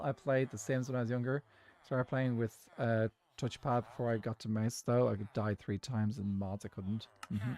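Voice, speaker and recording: A man's voice: mid-range voice, darkish tone. A young Irish man chatting casually and at length while he plays a game, answering chat as he goes. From Ireland. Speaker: streamer. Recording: faint music in background. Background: faint background music.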